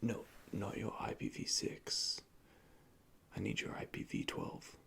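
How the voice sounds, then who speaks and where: A man whispering softly and methodically as he plays a repair technician in an ASMR roleplay, recorded close to the microphone. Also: unhurried. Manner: soft, calm, methodical, speaks in technical jargon. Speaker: ASMR creator playing an android repair technician.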